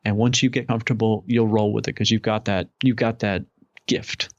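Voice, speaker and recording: A man's voice: fairly low pitch, steady tone, moderate pace, no room sound. Eager chatty man, dull lo-fi sound. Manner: upbeat, enthusiastic, rambling. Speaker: podcast guest. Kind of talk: casual interview chat. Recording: dull lo-fi audio.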